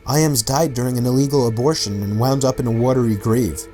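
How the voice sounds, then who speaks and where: A man's voice: mid-range voice, fairly even intonation, fairly quick, dark tone, faint music behind. A man delivering scripted documentary-style narration about real mysteries. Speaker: video narrator.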